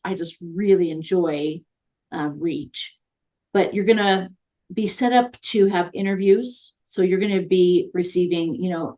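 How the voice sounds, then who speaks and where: Interview guest: woman, somewhat low pitch, friendly and talkative, peppered with "um". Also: warm tone. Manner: chatty and upbeat, many fillers. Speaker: guest consultant on virtual assistants. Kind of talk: conversational interview.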